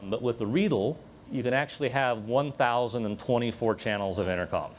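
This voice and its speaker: A man's voice: medium pitch, brisk, slight background noise. A man giving a conversational live technical demonstration to a room, explaining equipment as he presents it. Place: room with an audience at a live event demo.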